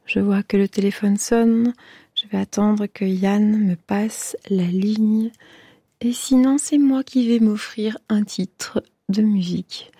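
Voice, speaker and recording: Informal radio hostess, dry studio sound. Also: female voice, mid-range voice, steady tone, slow, mellow, slightly rough, no room sound. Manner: effusive, informal, encouraging. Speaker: radio phone-in host. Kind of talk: casual radio chat with callers.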